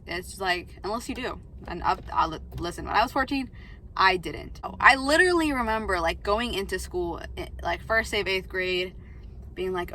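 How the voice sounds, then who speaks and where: A woman's voice: lowish voice, pushed and bright, a little hiss or hum, low-quality recording. An eighteen-year-old woman chatting in a rambling vlog from her parked car, filling her talk with "like" and quotes of herself. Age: late teens. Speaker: vlogger. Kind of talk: casual talking-to-camera vlog. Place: parked car, outside a coffee shop.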